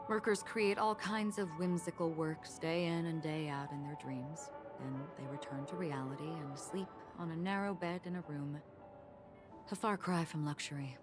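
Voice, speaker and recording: A woman playing a calm young swordswoman who speaks in a low, half-whispered way. Her lines are unhurried and reflective. Game music plays clearly behind her. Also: natural voice. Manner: quiet, slow, half-whispered. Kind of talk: game voice acting. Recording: game audio with music underneath.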